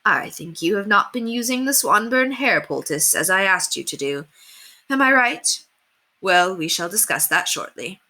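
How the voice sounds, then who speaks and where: A woman voicing a kind, refined headmistress in a storybook, gracious and measured, affectionate but firm. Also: medium pitch, expressive, pushed and bright. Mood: gently probing.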